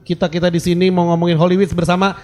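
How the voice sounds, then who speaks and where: A man's voice: high-pitched, little pitch movement, very fast, darkish tone. A man hosting a casual podcast chat, joking and bantering with his guests. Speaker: podcast host.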